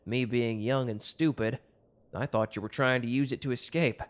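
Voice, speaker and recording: Man playing a young male love interest, medium pitch, casual, intimate, teasing, bashful, romantic audio roleplay. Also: fairly even intonation, normal speed, lightly acted. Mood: nostalgic.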